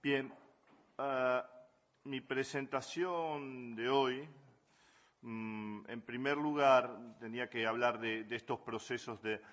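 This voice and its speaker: A man from Buenos Aires with an Argentine Spanish accent, giving an academic conference talk in a measured way with frequent hesitations. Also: medium pitch, fairly bright tone. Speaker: conference speaker. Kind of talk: academic lecture.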